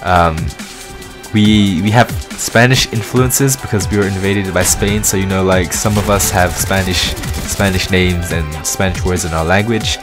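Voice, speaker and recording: Low-voiced young man, mixed London-American accent. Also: fairly even intonation, measured pace. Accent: London English mixed with American. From London, England. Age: around 20. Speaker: gaming video creator. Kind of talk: casual gameplay commentary, personal Q&A. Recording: music behind the voice. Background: music.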